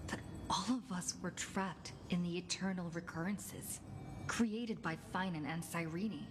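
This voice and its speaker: A woman playing a solemn young game heroine, narrating her quest in a measured, grave way over music. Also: lowish voice, close to an everyday voice. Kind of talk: scripted video game story narration. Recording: music under the voice.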